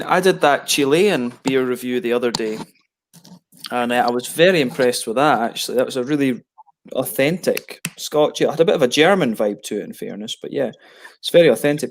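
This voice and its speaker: A man's voice: medium pitch, a little hiss or hum. Chatty man rambling on a video call. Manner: rambling, many restarts and fillers. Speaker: beer reviewer on a video hangout. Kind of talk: casual chat.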